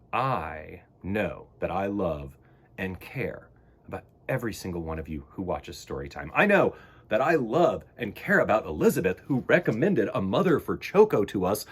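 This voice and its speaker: A man hosting a storytime for children, speaking to young viewers in an expressive, theatrical and earnest way. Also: mid-range voice, breathy edge. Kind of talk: expressive read-aloud and talk to young viewers.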